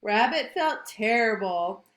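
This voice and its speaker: Woman reading a kids book aloud, slow and lively, asks questions, echoey room. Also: on the high side. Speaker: read-aloud storyteller. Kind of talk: children's picture book read-aloud. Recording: roomy echo.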